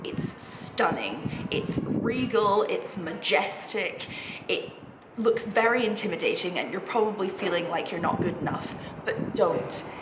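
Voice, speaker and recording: A woman's voice: medium pitch, unhurried. Echoey, reassuring young woman vlogger. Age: 20s. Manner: informal, reassuring, encouraging. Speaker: vlogger, music college postgraduate singing student. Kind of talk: chatty advice vlog to camera. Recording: echoey room with clear background noise.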